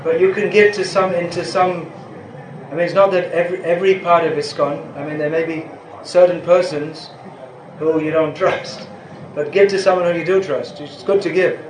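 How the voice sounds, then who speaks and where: A man's voice: fairly high pitch, a little mellow. An older man giving an informal question-and-answer talk as a spiritual teacher, rambling and restarting his sentences, chuckling now and then. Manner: rambling, self-interrupting, chuckles at times. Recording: echoey room with faint background noise.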